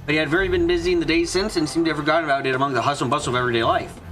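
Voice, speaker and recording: Guy reading visual novel narration, kinda high voice, quick, music low behind him. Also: steady tone, fairly bright tone. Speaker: fan dub reader and streamer.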